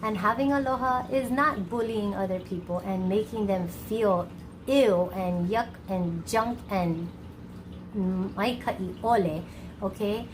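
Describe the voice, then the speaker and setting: A woman from Hawaii hosting a children's storytime, expressive and encouraging, speaking colloquially to young listeners. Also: mid-range voice, takes it slowly, background noise.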